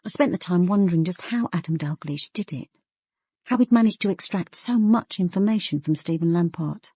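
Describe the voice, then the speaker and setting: An actress playing a sharp-minded British police detective in a radio drama, with controlled, deliberate and pointed delivery. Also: female voice, fairly low pitch, slightly rough. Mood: musing. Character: sharp-minded, ambitious female police detective questioning suspects. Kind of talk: radio drama acting with first-person narration.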